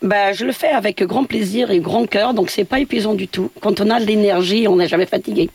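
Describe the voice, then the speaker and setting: A woman's voice: medium pitch, fairly quick, creaky, darkish tone, breathy edge, no room sound. A woman from Portugal, a building caretaker speaking as a radio interview guest, hesitant with many fillers and restarts.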